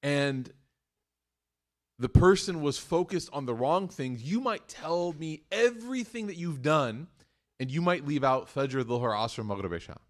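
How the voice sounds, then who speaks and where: A man giving a live sermon to a room of listeners, chatty and joking, moving between loud emphatic bursts and hushed points. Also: fairly high pitch, expressive, measured pace. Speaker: mosque speaker. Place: room with an audience.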